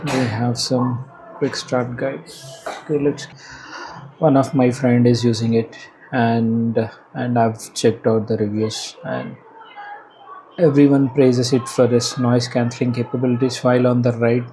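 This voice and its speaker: A man with an Indian accent giving casual unboxing and installation commentary as a product reviewer, halting and hesitant as he thinks aloud. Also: medium pitch, flat delivery, mellow. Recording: clearly noisy background ambience.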